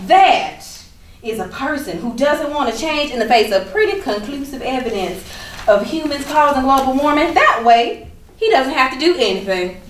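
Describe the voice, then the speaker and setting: A woman acting a brisk, prim older aunt who chides emphatically in a staged reading. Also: high-pitched. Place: live staged reading in a room. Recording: echoey room, distant mic, faint noise.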